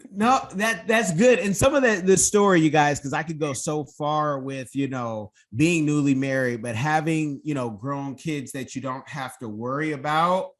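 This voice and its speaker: A man's voice: fairly high pitch, moderate pace, hardly any pauses. A man hosting an interview podcast, chatty and enthusiastic, affirming his guest's answers. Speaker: podcast host.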